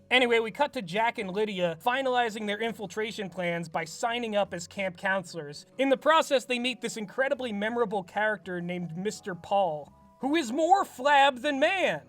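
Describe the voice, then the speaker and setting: A man's voice: high-pitched, a bit bright. A man in his twenties reading from his old script and giving comedic commentary on it in a wry, self-mocking way. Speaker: writer and host.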